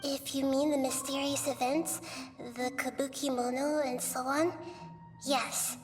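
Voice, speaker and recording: High-voiced, wise young goddess. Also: female voice, music in the background. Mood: calm, knowing. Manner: calm, measured, explanatory. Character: small, wise, gentle young goddess, composed and knowing. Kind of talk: video game voice acting.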